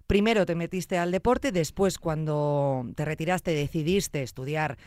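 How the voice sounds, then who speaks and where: Expressive woman podcast host, dry studio sound. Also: measured pace, dry recording. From Zamora, Spain. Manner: expressive, exclamatory, wide pitch swings. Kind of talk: casual interview.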